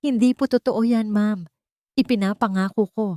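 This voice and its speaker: Brief: woman voicing accused young housemaid, tearful pleading, pitched up. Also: fairly even intonation, normal speed, no room sound, clean studio sound, lightly acted. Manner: pleading, desperate, higher and louder.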